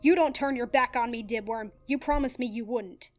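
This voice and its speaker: A woman voicing a proud, hot-tempered male alien with a croaky, hoarse voice and a snarling, haughty manner. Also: a little high, moderate pace, soft background music, close to an everyday voice. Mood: pleading. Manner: haughty, snarling, refers to himself by name.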